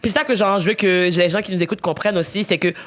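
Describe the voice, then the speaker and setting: A young woman with a Quebec French accent, co-hosting a podcast as a lively storyteller who laughs often. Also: lowish voice, racing, a loud crowd. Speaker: podcast co-host. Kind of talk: casual chat.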